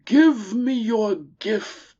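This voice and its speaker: A woman's voice: fairly high pitch, dark tone, muffled recording, voice pushed up. A woman voicing a proud, grumbling old male camel with aching joints, in a grandiose, complaining manner. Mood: grand, grudging. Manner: grandiose, complaining, drawn-out delivery.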